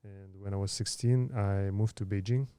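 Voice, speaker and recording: Low mellow-voiced man in his thirties. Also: fairly even intonation, smooth, no room sound. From Kazakhstan. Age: 30s. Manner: halting, many fillers and restarts. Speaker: podcast guest. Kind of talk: casual interview storytelling.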